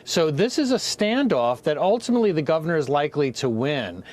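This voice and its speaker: Measured man giving political analysis. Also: fairly high pitch, very animated, a little mellow. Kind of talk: news commentary.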